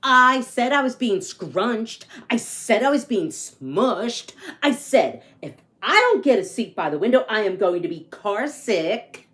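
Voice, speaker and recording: A woman's voice: fairly high pitch, animated, unhurried, few pauses, fairly bright tone. A woman voicing a grumpy, aggrieved young boy who narrates his bad day in a storybook, with emphatic complaining.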